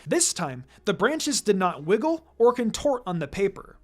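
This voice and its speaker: An expressive storyteller reads fan fiction aloud in a medium-pitched voice. The delivery moves up and down in lively fashion, with music playing behind the narration. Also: moderate pace. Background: background music.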